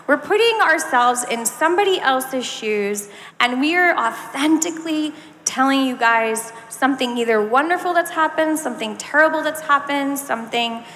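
Animated, high-pitched woman in a big hall. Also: normal speed. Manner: animated, gesturing. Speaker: voice actor panelist. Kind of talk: casual convention panel talk. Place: large convention stage, indoors. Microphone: handheld microphone. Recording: echoey hall, some background noise.

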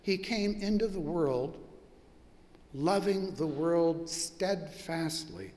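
Older pastor preaching slowly in a reverberant church. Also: male voice, on the high side, expressive, darkish tone. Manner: measured, reflective preaching with drawn-out pauses. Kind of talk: sermon. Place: large church sanctuary with stone wall.

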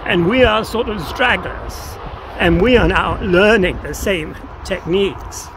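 A man's voice: sits high, a little slow. An older man being interviewed outdoors, explaining ideas in a reflective, tentative, philosophical way. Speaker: interview guest. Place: outdoors on open grassland by a path. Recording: outdoor recording with clear ambient noise.

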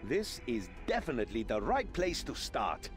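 An actor voicing a brash, plucky young adventurer in a video game, with an excitable, theatrical manner. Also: male voice, mid-range voice, normal speed, music in the background. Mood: confident, curious.

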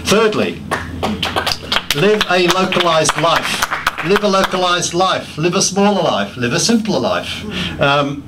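A man's voice: sits high, lively intonation, a little slow, heavy background noise. Man giving an impassioned talk in a pub. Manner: self-deprecating, rambling restarts, emphatic lists. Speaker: speaker at a public talk. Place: pub function room.

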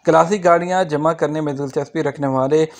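A man's voice: fairly high pitch, fairly even intonation, a little fast. A man reading scripted news items as a narrator for an online channel, in a formal manner.

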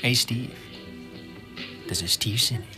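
Schauspieler als ruhiger, trockener Zuhörer. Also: male voice, mid-range voice, slow, close to an everyday voice. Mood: dry, concerned. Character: calm, dry male listener looking after drunk friend. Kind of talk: German radio drama acting. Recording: studio radio play with loud music behind.

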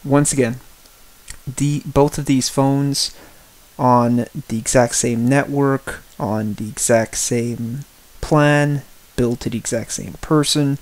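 A man giving a spoken personal-opinion tech review as a reviewer, in deliberate, halting phrases with emphatic repetition. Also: medium pitch, a little mellow. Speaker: product reviewer.

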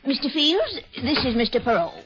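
A woman's voice: high-pitched, sing-song, moderate pace. A woman playing a polite, chirpy secretary in a 1940s radio drama. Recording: dull, noisy old radio broadcast.

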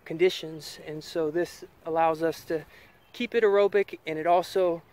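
Higher-voiced American man, outdoors. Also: steady tone, moderate pace, darkish tone. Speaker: farm vlogger giving a tour. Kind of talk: casual explanatory tour talk. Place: outdoors on a farm. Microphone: camera at arm's length. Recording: outdoor ambient noise.

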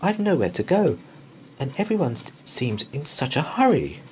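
A man's voice: a little high, big pitch swings, normal speed, a little mellow, slight background noise, voice pushed up, poor audio. A man voicing a small, polite and earnest young bear in a children's storybook. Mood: grateful. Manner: courteous, earnest.

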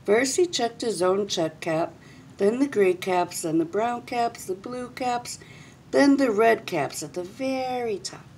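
A middle-aged woman reading a children's story aloud expressively, with dramatic pauses and drawn-out words. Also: a little high, animated, a little mellow, slight background noise. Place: small indoor room.

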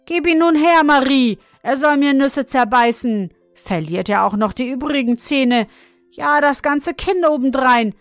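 A woman voicing a rough, scornful young boy who demands his toy back. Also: on the high side, moderate pace, hardly any pauses, no room sound, soft background music, natural voice.

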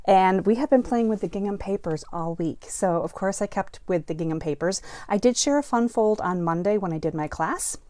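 A middle-aged woman teaching a live card-making class from her craft room, chatting and greeting viewers as she demonstrates, feeling a bit foggy and slow today. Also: medium pitch, warm tone, no room sound.

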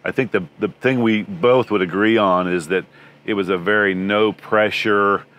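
A man's voice: fairly low pitch, fairly even intonation, normal speed, highs cut off. A man in his sixties, interviewed outdoors beside a travel trailer, answering in a friendly, rambling way with many restarts.

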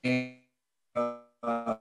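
A man answering technical questions as an expert on a video call, speaking in a halting, careful way. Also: medium pitch, monotone, a bit bright, faint music behind. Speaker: expert panelist with a doctorate. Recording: distant mic on video call.

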